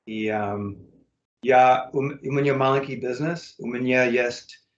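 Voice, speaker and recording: An American man, a native English speaker, speaking learner's Russian in a casual interview, halting with many fillers and self-corrections. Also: medium pitch, reverberant, muffled recording. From United States. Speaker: interview guest, English teacher.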